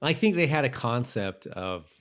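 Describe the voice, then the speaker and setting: An American man with a medium-pitched voice co-hosting a rewatch podcast. He talks in a loose, conversational way and tends to chuckle through his remarks. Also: big pitch swings, normal speed, fairly bright tone. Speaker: podcast co-host. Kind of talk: casual TV rewatch podcast chat.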